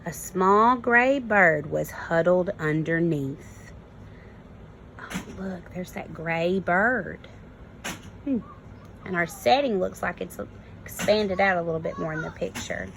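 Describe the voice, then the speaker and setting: A woman, a kindergarten teacher, reading a storybook aloud and pausing to explain it simply to young children. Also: on the low side, vocal fry, fairly bright tone, a little hiss or hum, low-quality recording.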